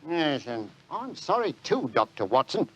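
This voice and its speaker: Casting: dogged London copper, fairly high voice, colloquial and blunt, bouncy intonation, old radio drama. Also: male voice, sing-song, unhurried, husky, lo-fi sound, heavily acted. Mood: apologetic. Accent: London working-class English. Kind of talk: 1940s radio drama acting.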